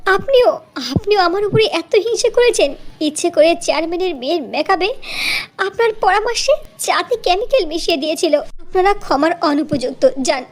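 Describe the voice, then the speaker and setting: A kind young beautician heroine from a cartoon fairy tale, played by a woman. Her voice is very high and girlish, with an earnest, emphatic delivery. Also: expressive, normal speed. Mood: hurt, indignant. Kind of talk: dubbed cartoon dialogue.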